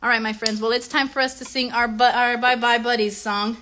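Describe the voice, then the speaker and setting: High, bright-voiced woman hosting for kids. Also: flat delivery, a little fast, slight background noise. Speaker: host of a children's puppet show. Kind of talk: chatty livestream for young children.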